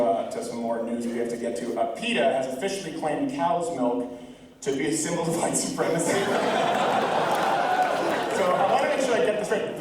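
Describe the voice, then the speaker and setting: A man's voice: a little high, background noise. A man hosting a live comedy show, sarcastically joking through news items to an audience. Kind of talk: live stand-up style news riffing. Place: live venue stage before an audience. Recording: echoey hall from far off with loud crowd.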